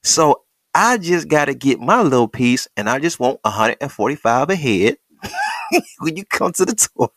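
A greedy, conniving tour guide talks up his pitch with boastful patter, as a man acts him out. The voice sits a few steps higher than the speaker's own and swings about a lot. The sound is dry and close. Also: wildly swooping pitch, normal speed, a bit bright, no room sound, heavily acted. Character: scheming adult male tour guide hustling visitors for cash.

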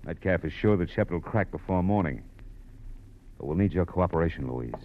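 Male private detective, low-pitched, cool and commanding, terse noir delivery, dull vintage radio sound. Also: lively intonation, fairly quick, slight background noise. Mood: cool, insistent. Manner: clipped, tough, world-weary. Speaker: lead actor and narrator. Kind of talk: 1940s radio noir acting and narration. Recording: muffled old radio recording with music.

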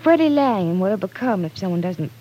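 A woman's voice: medium pitch, very animated, brisk, muffled recording, slight background noise, natural voice. An actress playing an earnest, stubborn, idealistic young small-town woman in an old-time radio drama. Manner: earnest, insistent.

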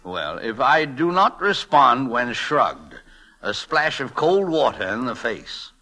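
An actor playing a genial, courtly older telegraph operator with grandiloquent phrasing in an old radio drama. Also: male voice, medium pitch, sing-song, slow pace, bright, forceful tone, full character voice. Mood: grave, dignified. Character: genial, formal older male telegraph operator, sensitive drinker. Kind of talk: 1940s-50s radio drama acting.

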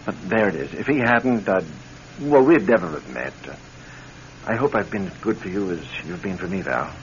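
A man's voice: low-pitched, expressive, moderate pace. A man playing a concerned, devoted husband in a classic radio drama, earnest and exclamatory. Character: concerned, devoted husband, wry and plain-spoken. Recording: muffled old radio recording, faint noise.

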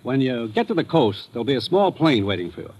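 A man playing a brisk, authoritative commissioner in an old radio drama, giving orders in a businesslike way. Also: mid-range voice, sing-song, darkish tone, dull audio, a little hiss or hum, natural voice. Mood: serious, urgent. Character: brisk, businesslike male commissioner briefing an agent. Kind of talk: 1950s radio drama acting.

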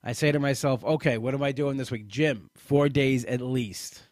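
A man in his late thirties sharing his weight-loss journey in a halting, personal way, often restarting and repeating words. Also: medium pitch, a little mellow, no echo, studio quality. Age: late 30s. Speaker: weight-loss program member. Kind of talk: personal testimonial talk.

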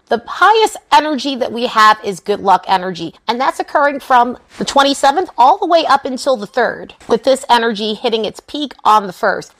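A woman's voice: fairly high pitch, a bit bright. A woman hosting an astrology podcast, talking through the week ahead in a chatty, reassuring way, her voice scratchy and frayed from a recent cold. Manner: chatty, reassuring, loose with repetition. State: hoarse, scratchy voice recovering from a cold. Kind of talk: weekly astrology forecast, talking to viewers.

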